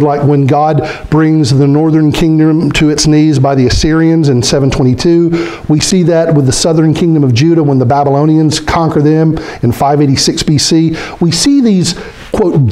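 A middle-aged man preaching a sermon as pastor, animated and questioning his congregation, dropping low when he quotes scripture figures. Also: low-quality recording. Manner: animated, gestures a lot, asks the congregation questions. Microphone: stand mic in front of speaker.

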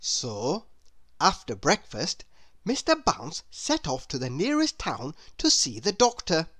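A woman narrates a children's storybook with great expression. Her voice sits a little low and swings widely in pitch. She reads slowly and deliberately. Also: huge pitch swings, a bit bright. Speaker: storybook reader.

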